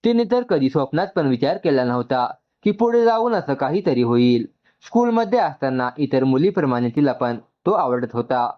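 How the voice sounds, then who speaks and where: A man's voice: mid-range voice, lively intonation, moderate pace, highs cut off. A man narrating an emotional story from a script.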